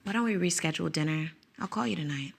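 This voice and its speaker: A woman playing a hesitant, gravelly-voiced teacher. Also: fairly low pitch. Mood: weary. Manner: hesitant, halting.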